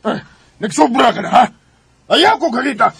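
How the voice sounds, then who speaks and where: Stern, short-tempered middle-aged father, Cebuano radio drama. Gravelly, very hoarse-sounding, high-pitched for a man. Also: expressive, normal speed, fairly bright tone, exaggerated voice. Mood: stern, irritated. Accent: Cebuano Bisaya. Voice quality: raspy.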